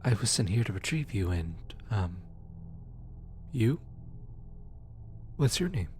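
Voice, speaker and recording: A man playing a gentle, awkward demon soul collector in an ASMR audio roleplay, speaking softly, tenderly and a little haltingly to comfort the listener. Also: on the low side, big pitch swings, slight background noise. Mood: awkward, friendly. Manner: hesitant, halting, tender, casual.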